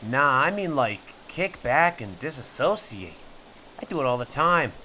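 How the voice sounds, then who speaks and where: A man's voice: medium pitch, very animated, unhurried, pushed and bright, slight background noise. A man voicing a laid-back, goofy young reptile camper in a comic dub, playful and carefree. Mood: easygoing. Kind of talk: comic dub character acting.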